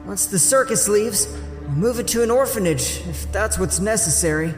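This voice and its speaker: A man's voice: high voice, expressive, moderate pace. An actor playing a grieving teenage boy acrobat who speaks earnestly and resolutely. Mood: determined. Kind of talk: audio drama acting. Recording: reverberant with music score.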